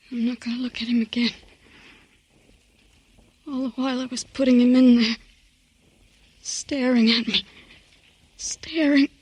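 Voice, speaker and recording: An actress playing a distraught, haunted young woman in a 1950s radio crime drama, halting and repeating words in anguish. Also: high voice, darkish tone, breathy edge, no echo, exaggerated voice.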